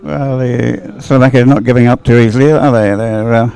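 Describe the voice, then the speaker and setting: A man's voice: mid-range voice, fairly even intonation, a little fast, vocal fry, darkish tone, clear, resonant tone. A man giving live sports commentary on a race, observing and thinking aloud with hesitant phrasing.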